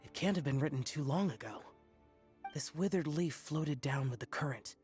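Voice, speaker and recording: A man's voice: a little high, normal speed, darkish tone, faint music behind, natural voice. A man playing an aloof, solemn, youthful demon-slaying guardian in an anime-style game, terse and formal. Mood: solemn, detached.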